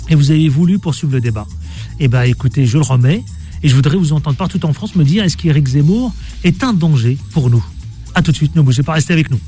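A man hosting a live radio phone-in show, brisk as he announces the time and the phone number and prods his callers. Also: on the high side, warm tone. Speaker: radio talk show host. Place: radio studio. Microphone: studio microphone.

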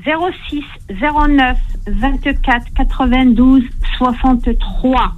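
A woman's voice: sits high, takes it slowly. A woman calling a radio show from her phone, earnest and a little hesitant, describing job openings at her agency. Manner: apologetic, earnest, slightly hesitant. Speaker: caller, home care agency manager. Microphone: phone line. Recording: muffled echoey phone line.

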